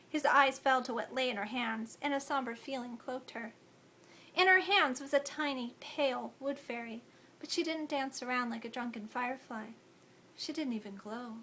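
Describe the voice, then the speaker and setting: A woman author reading aloud from her novel, expressive in the narration and chatty in her asides. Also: high voice, slight background noise. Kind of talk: audiobook-style reading.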